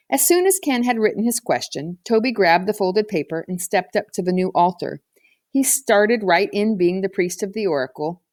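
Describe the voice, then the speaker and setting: A woman's voice: mid-range voice, a little mellow, no echo, clean studio sound. A woman reading a children's novel aloud as a scripted narrator. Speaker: audiobook reader.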